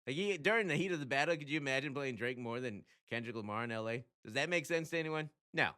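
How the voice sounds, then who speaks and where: Bright-voiced man in casual, animated commentary. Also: mid-range voice, fast. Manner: animated, chuckling, slangy. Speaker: radio programmer and music commentator. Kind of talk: casual solo commentary on music industry.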